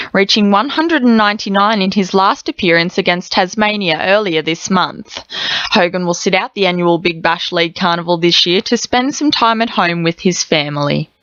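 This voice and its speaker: An Australian woman delivers a scripted sports bulletin at a middling pitch. The radio sound is dry and a little dull. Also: fairly bright tone, no echo. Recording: slightly dull radio recording.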